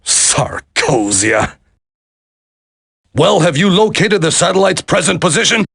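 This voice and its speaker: An irascible senior official in a video game. His high voice swings widely and rasps with gravel as he blusters and barks curt orders. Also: big pitch swings, measured pace, fairly bright tone, no echo, clean studio sound, exaggerated voice. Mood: angry, frustrated. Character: irascible senior government secretary, commanding and blustering.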